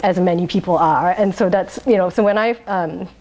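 A woman from Singapore, talking through her life in a rambling oral history interview, laughing often and pausing on um's. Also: lowish voice, fairly even intonation, darkish tone. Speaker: interviewee, wine bar owner.

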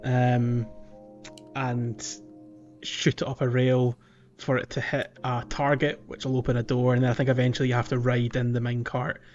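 A man's voice: medium pitch, flat delivery, normal speed, a little mellow, soft background music. A man with a mid-range voice chats as a gaming podcast co-host. He is informal and conversational, often thinking aloud, and the recording is a little dull and lo-fi. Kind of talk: casual gaming podcast chat.

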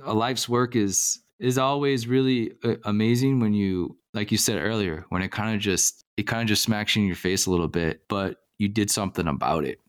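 A man's voice: fairly low pitch, moderate pace, hardly any pauses, clean tone, dry recording, clean studio sound. A man hosting a podcast, speaking enthusiastically and rambling, with many restarts. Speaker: podcast host. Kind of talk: podcast interview.

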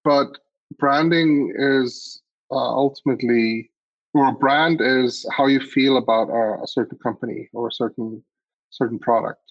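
A man's voice: medium pitch, fairly even intonation, a little slow, dry recording, muffled recording. A man from Iceland answering interview questions as a guest, picking his words hesitantly and thoughtfully. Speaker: podcast guest, agency CEO.

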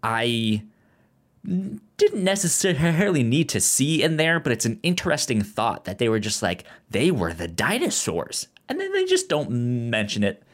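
Halting man chatting casually, often stammering. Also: mid-range voice, wildly swooping pitch. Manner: halting, frequently stammers and repeats words. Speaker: podcast co-host. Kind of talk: casual chat about a film.

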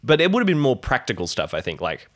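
A man's voice: mid-range voice, huge pitch swings, quick pace, a bit bright. A man chatting casually as a podcast cast member, jokey and rambling as he talks. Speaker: podcast cast member introducing his character. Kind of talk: casual banter.

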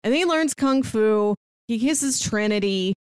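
A woman co-hosts a podcast, chatting casually with dry, deadpan humor. Her voice sits a little high and sounds close and dry, with little room echo. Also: measured pace, smooth. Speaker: podcast co-host. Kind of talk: casual chat.

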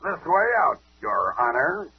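An actor playing a blunt, slangy police sergeant in 1940s radio drama style. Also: male voice, fairly high pitch, sing-song, measured pace, gravelly, slightly rough, full character voice. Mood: businesslike. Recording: dull hissy old radio recording.

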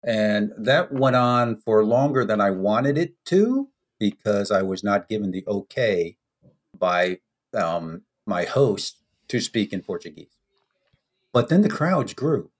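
An American man, a podcast guest, telling stories in an unhurried, anecdotal way with frequent ums. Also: on the low side, lively intonation. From United States. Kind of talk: storytelling in a podcast interview.

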